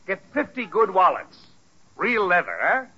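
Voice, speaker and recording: A condescending big boss from a 1940s radio comedy. The voice is high for a man, forceful and sweeping in pitch. He is pompous and theatrical, talking people round with false heartiness on a muffled old recording. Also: big pitch swings, a little slow, a little hiss or hum, exaggerated voice. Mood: genial, condescending. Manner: pompous, theatrical, jovially persuasive. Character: hearty, wily, condescending male company boss. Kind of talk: 1940s radio sitcom acting.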